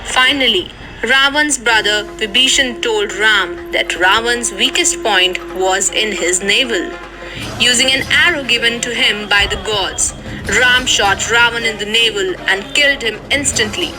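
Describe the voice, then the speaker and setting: High-voiced woman narrating a children's story over music. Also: unhurried, poor audio.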